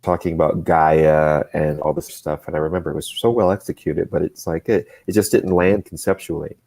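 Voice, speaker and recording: A man speaking as a guest on a casual live show, thinking aloud with many restarts and bursts of faster talk. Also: very deep voice, vocal fry. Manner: thoughtful and rambling, many restarts, speeds up when excited. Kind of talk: casual livestream chat.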